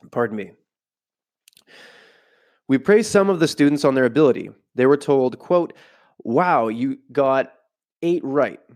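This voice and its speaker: A man's voice: mid-range voice, a little mellow. Stuffy-voiced man reading aloud at home. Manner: reads aloud, then breaks off into loose asides. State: stuffy from a cold. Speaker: podcast host. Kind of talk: casual podcast, reading from a book with side comments. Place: home room, not a studio.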